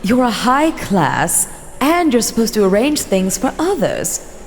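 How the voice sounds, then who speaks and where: Woman acting haughty vampire elder lady, formal and scolding, very animated, big echoey hall. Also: fairly high pitch, a little slow. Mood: stern disappointment. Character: imperious female vampire elder commanding her clan. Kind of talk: scripted fantasy role-play voice acting. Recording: long hall reverb, faint noise.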